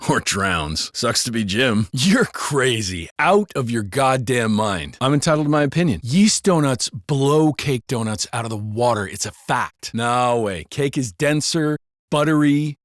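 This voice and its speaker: Lively, dry-sounding American cop, acted by a man. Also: mid-range voice, measured pace, dry recording, lightly acted. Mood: sardonic gossip, then bantering, argumentative. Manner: colloquial, sardonic. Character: gossiping, foul-mouthed American male beat cops. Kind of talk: casual scripted banter for a video game.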